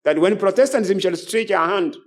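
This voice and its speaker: A man preaching in an expository lecture style, deliberate and halting, repeating phrases for emphasis. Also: high-pitched. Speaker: preacher, ministry host.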